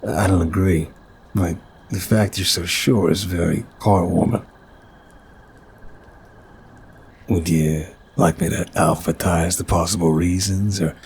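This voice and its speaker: A man's voice: low voice, slight background noise. A man playing an apologetic, nervous but charming mafia boss in an ASMR roleplay, chatty, wry and flirtatious. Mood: self-doubting, dry.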